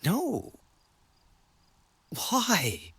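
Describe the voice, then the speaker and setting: Man acting a young mage recruit, fragile, very husky, raised pitch, slow, fantasy audio drama. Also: huge pitch swings, bright, forceful tone, dry recording, full character voice. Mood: anguished. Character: tearful, frightened young male mage recruit.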